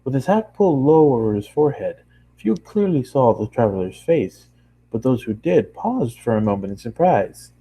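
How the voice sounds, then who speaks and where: Husky, mellow man reading a story aloud. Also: medium pitch, very animated, moderate pace, poor audio. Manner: stumbles and corrects himself, apologizing. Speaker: amateur fan fiction reader. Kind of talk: storybook narration read aloud.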